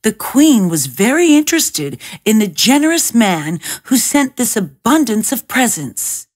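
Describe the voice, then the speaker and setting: A woman's voice: fairly high pitch, big pitch swings, unhurried, husky. A woman narrating a scripted fairy tale as an audiobook reader.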